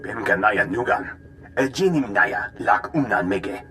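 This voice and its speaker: Animated, forceful alien. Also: male voice, fairly low pitch, a little slow, a little hiss or hum, heavily acted. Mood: brisk. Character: excitable alien speaking a made-up tongue. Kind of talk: video game alien character acting.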